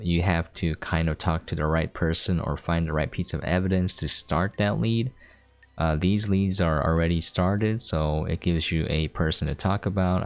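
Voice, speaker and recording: A man's voice: sits low, clear, resonant tone. A man giving casual, explanatory gameplay commentary, talking informally to his viewers. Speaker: game streamer giving a preview. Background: game music.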